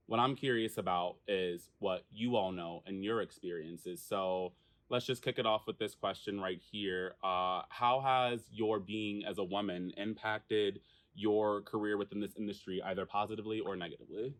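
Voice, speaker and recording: Deep-voiced man hosting a frank chat. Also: steady tone, normal speed, a bit bright, no echo. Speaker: podcast host. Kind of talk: casual discussion.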